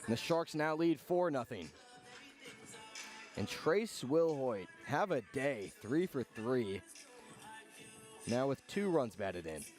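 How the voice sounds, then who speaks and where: A man doing live radio play-by-play of a baseball game in clipped phrases, with pauses between calls. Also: medium pitch, very animated, darkish tone, soft background music. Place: outdoor ballpark broadcast.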